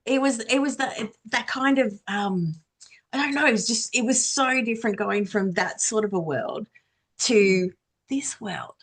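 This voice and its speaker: A woman podcaster chatting casually on a panel, enthusiastic and quick to giggle as she talks. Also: on the high side, a bit bright. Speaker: audio drama podcaster, former radio worker.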